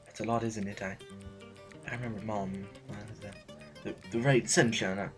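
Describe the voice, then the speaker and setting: A man's voice: fairly low pitch, fairly even intonation, normal speed, faint music behind, lightly acted. A man playing an anxious, proper official who stammers and hesitates in an audio drama. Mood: anxious, hesitant. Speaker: voice actor.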